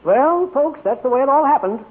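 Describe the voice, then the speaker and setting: An actor playing a folksy small-town narrator who addresses the listeners in a 1940s radio drama. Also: male voice, very high-pitched, lively intonation, normal speed. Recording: tinny old radio broadcast, music behind.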